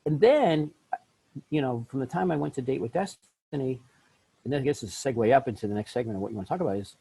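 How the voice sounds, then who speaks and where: A middle-aged man, a life coach, telling his story in an interview, often jumping into lively quoted voices. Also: quick pace, vocal fry, breathy edge, rough recording. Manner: acts out quoted voices with big pitch jumps. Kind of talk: animated storytelling in a podcast interview.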